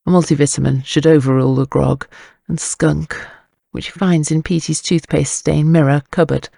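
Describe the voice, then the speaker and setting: A man's voice: fairly high pitch, steady tone, normal speed, creaky, dark tone, dry recording, studio quality. A man reading scripted dramatic narration for an audiobook, with a wry, wordy storytelling manner.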